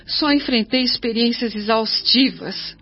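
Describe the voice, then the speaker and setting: A plaintive, high-voiced Brazilian mother. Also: unhurried, breathy edge. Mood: indignant complaining. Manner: plaintive, self-justifying, dramatic. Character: anguished, complaining spirit mother pleading for her children. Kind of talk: radio drama acting. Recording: dull lo-fi radio drama with music behind. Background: music.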